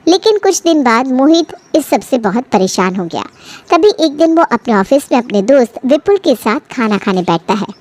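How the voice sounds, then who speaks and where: A woman's voice: high voice, very animated, moderate pace, a little mellow. A woman reading scripted narration for a family bedtime story.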